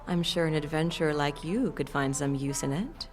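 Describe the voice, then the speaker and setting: Low-voiced, coy fiend shopkeeper played by an actress. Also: female voice, normal speed, faint music behind, close to an everyday voice. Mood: alluring sales patter. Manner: coy, theatrical saleswoman with chuckles and purring flourishes. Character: playful, sultry half-demon fiend woman shopkeeper. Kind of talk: audio drama acting.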